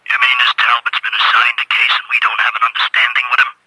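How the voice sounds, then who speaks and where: An actor playing a threatening gangster boss with a rough, ungrammatical way of talking and a breathy rasp, in old-time radio drama. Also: male voice, medium pitch, monotone, fast talker, heavy background noise, lightly acted. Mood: menacing. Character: menacing gangster boss, threatening, demanding, uneducated.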